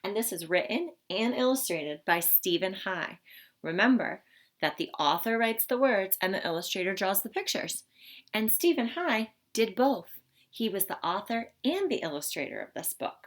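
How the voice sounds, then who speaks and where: A woman reading a picture book aloud to children in an expressive, teacherly way, explaining things simply. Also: mid-range voice, normal speed. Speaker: storybook reader for children.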